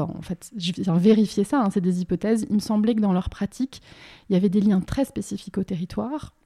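A woman's voice: mid-range voice, dark tone. A woman from Bordeaux in France speaking in an informal interview, explaining her work hesitantly and repeating words as she searches for the next thought. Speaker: interviewed independent curator and art school teacher.

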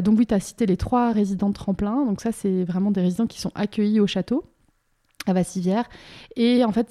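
Mellow-voiced woman, searching as she explains. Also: mid-range voice. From Bordeaux, France. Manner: hesitant, searching, often repeats words as thoughts form. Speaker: interviewed independent curator and art school teacher. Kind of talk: informal radio interview, explaining a project.

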